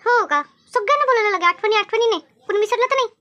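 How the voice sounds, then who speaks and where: A woman voicing a nosy, helpful village housewife in a cartoon comedy, brisk, repetitive and gently scolding. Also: very high voice, dry recording, lo-fi sound. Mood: questioning, reproachful. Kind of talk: animated cartoon comedy dialogue.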